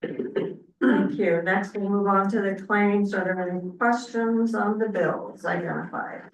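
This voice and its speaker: A woman with a medium-pitched voice runs a formal council meeting as chair. Her voice comes from some way off the mic in a very echoey room. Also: fairly even intonation, measured pace, recorded from a distance.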